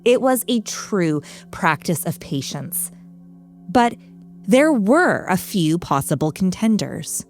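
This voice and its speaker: A woman hosting and narrating a true-crime podcast from a script, with measured, dramatic storyteller phrasing. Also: medium pitch, sing-song, soft background music.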